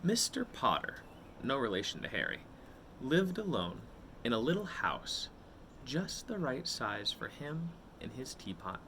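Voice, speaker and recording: Male children's read-aloud host. Expressive storybook delivery, very varied pitch, leisurely tempo. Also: measured pace, creaky, a little hiss or hum. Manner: greets and addresses young listeners directly.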